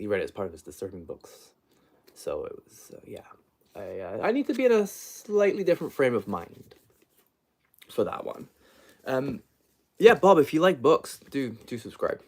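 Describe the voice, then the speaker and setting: A man hosting an unscripted book livestream, chatting casually in a rambling, hesitant way and often trailing off mid-thought. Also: fairly low pitch.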